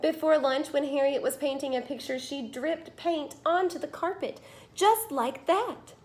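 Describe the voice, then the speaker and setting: A high-pitched woman's voice, a teacher doing a read-aloud for young children. She tells the story expressively, stretching out key phrases and leaning on them for emphasis. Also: a little slow, slight background noise. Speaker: teacher reading a picture book aloud.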